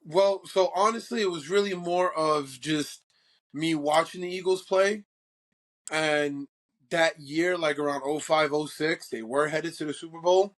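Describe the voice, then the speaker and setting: A man's voice: a little high, unhurried. A young man from Croatia co-hosting a football podcast, brash and boastful, swearing freely in casual chat. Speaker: podcast co-host.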